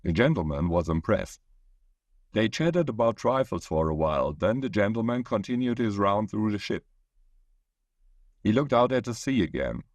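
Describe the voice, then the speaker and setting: A man's voice: on the low side, expressive, normal speed, no room sound. A man reading his own short story aloud as a scripted narration.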